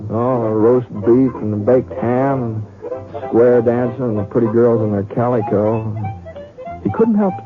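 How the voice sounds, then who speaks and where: Western radio narrator male actor: folksy, conversational, mid-pitched, muffled old broadcast. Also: a little slow, lightly acted. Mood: folksy reminiscing. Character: folksy Western storyteller spinning a yarn. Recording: muffled vintage radio, faint music.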